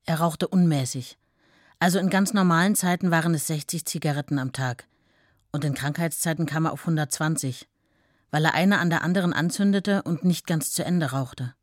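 Radio play wife, played by a woman: deep female voice, sober, factual, unadorned. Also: normal speed, no room sound, studio quality, natural voice. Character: novelist's steadfast, sober wife looking back. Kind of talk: radio play recollection, plain factual narration.

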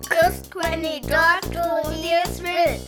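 A woman's voice: extremely high pitch, level tone, slow, loud music behind the voice. A woman playing a taunting little schoolgirl chanting in a musical radio play. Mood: teasing.

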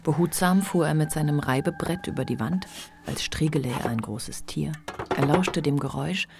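A man narrating a radio play in a measured, literary, scripted style. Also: a little high, creaky, background noise.

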